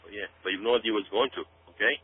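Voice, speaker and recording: A man with a slightly low voice delivers radio commentary through a thin, phone-like connection with hum. He addresses listeners directly and builds things up dramatically. He repeats phrases for emphasis and tags his points with little check-ins. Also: rapid-fire, breathy edge. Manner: emphatic, repeats himself, tags sentences with "okay?". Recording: tinny phone-like sound with hum.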